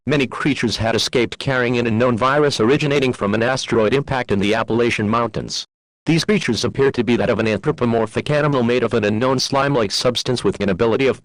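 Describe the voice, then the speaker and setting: A man reading a scripted emergency broadcast in a formal, measured, official manner. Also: mid-range voice, dry recording, low-quality recording. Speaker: emergency alert announcer.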